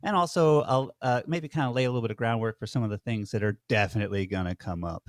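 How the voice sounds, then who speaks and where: A man's voice: medium pitch, fast, no room sound, studio quality. A young adult man co-hosting a casual podcast over a video call, friendly and self-deprecating, talking with plenty of "uh" and "you know.". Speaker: podcast co-host.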